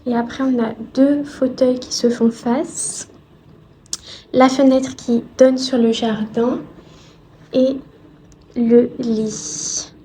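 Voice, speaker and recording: A woman with a fairly high voice talks through a travel vlog at an unhurried pace. She is polite and descriptive, speaking softly and casually, with faint noise around her. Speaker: vlogger.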